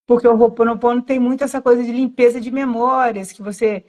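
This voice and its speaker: A woman in her late forties with a mild Minas Gerais accent and a slightly high voice tells her own story on a live stream. She talks reflectively and wanders a little, doubling back to correct herself and tagging her phrases with a questioning "né". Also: level tone, fast, a little mellow. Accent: Brazilian Portuguese, Minas Gerais (Zona da Mata, mild). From Minas Gerais, Brazil. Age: late 40s. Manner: reflective, meandering, with self-corrections and frequent "né". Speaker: yoga teacher.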